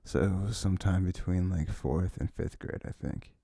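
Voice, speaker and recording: A man with a husky, creaky voice rambling informally and haltingly to one listener in an intimate ASMR chat, close to the mic. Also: deep voice, steady tone, warm tone, no echo. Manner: sniffles habitually. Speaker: ASMR audio performer.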